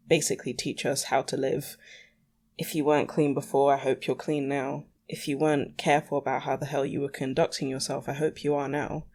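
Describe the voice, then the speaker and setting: A woman's voice: very deep voice, darkish tone. A young adult woman hosting a solo podcast in a casual, candid, slightly exasperated rambling style. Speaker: podcast host.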